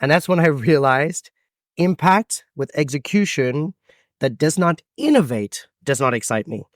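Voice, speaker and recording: A man in his thirties with an American accent, a guest telling his life story with expansive, dramatic pauses. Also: a little high, lively intonation, no room sound, clean studio sound. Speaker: podcast guest, event MC. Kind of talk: storytelling interview answers.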